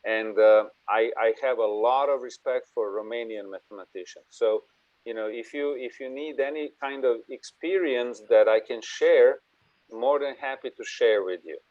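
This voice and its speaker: A man's voice: mid-range voice, normal speed, darkish tone, no echo. A man giving a conference presentation and answering questions in a conversational way, with restarts as he goes. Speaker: invited speaker presenting an AI institute. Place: online video conference. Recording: dull, lo-fi online call audio.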